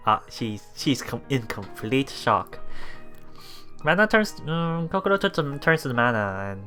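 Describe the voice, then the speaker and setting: A woman casually reading and reacting to a visual novel as a let's play streamer, giggly, excitable and expressive. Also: very deep voice, measured pace, soft background music.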